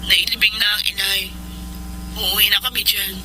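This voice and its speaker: A woman's voice: mid-range voice, flat delivery, moderate pace, heavy background noise, lightly acted. A woman playing a wronged but forgiving mother in an earnest, emotive style of Filipino radio drama. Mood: anxious. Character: wronged, forgiving, devoted mother who sells rice cakes. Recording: radio drama with music underneath.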